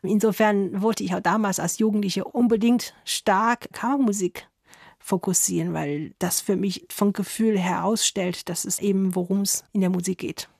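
A woman's voice: medium pitch, moderate pace, gravelly, no room sound, clean studio sound. Thoughtful woman violinist. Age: 30s. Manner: thoughtful, laughs softly, slight grammar slips. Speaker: violinist guest. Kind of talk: radio interview answers. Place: radio studio.

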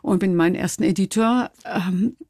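A woman's voice: medium pitch, normal speed, darkish tone, no echo. Older woman storyteller, close dry sound. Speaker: interview guest, documentary filmmaker. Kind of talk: reflective personal storytelling in an interview.

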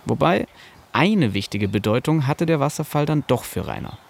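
Creaky-voiced man narrating a podcast. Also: mid-range voice, sing-song, normal speed. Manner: casual, personal storytelling. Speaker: podcast host and reporter.

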